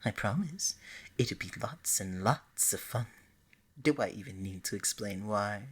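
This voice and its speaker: Casting: woman as a lovestruck deity of romance for ASMR roleplay, very low and breathy, flirty, coaxing, scatterbrained. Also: expressive, unhurried, exaggerated voice. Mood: pleading, flirty. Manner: playful, coaxing, bubbly and hesitant by turns.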